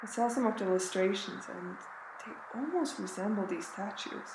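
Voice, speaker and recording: A woman acting as a frightened Antarctic expedition member in a radio drama, speaking a halting, brooding journal as dread takes hold. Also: mid-range voice, natural voice. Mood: uneasy wonder. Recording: roomy echo with clear background noise.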